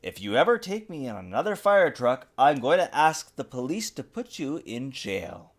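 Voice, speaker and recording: A man's voice: mid-range voice, very animated, normal speed. A man voicing a hesitant, cautious little boy in a children's storybook, sounding unsure and worried. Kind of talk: acted children's storybook reading.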